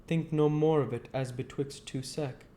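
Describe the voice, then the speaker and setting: Guy doing a high voiced country bloke from a storybook, kindly, uneducated, argues his point emphatically. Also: fairly even intonation, moderate pace, mellow, lightly acted. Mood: earnest, gently insistent. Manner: rustic ungrammatical speech, argumentative, emphatic. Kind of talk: audiobook character voice.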